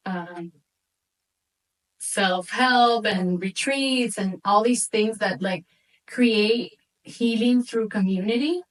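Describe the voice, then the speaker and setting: A young woman from Venezuela speaks as an interview guest, picked up from a little way off the microphone. Her talk is informal, animated storytelling at a middling pitch, sprinkled with Spanish words. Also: slow pace, distant mic, low-quality recording. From Venezuela, moved to the United States at eight. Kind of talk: casual conversational storytelling.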